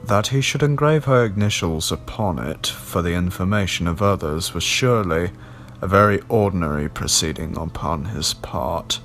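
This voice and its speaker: A man voicing a grave, learned gentleman in an audiobook, explaining in a measured, deliberate way. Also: low voice, expressive, faint music behind. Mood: explanatory, grim. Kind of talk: audiobook character dialogue.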